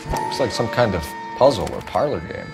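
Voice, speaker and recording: Actor as an earnest detective with animated pitch. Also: male voice, normal speed, over music, close to an everyday voice. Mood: brisk questioning. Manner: clipped, authoritative, probing. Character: earnest, brisk, by-the-book young male police detective. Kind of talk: video game dialogue acting, 1940s noir.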